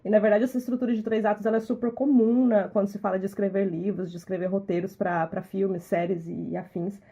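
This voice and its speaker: A Brazilian woman from Minas Gerais hosts a casual podcast chat. Her voice is mellow and a touch husky. She talks her way through ideas, often restarting on small words. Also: medium pitch, steady tone, fast talker. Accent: Brazilian Portuguese. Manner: thoughtful, repeats small words while explaining. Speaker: podcast host.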